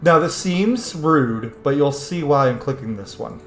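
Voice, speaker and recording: Male game streamer, casual commentary, animated intonation, opinionated, echoey room, faint music. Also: on the high side, measured pace. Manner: opinionated, profane.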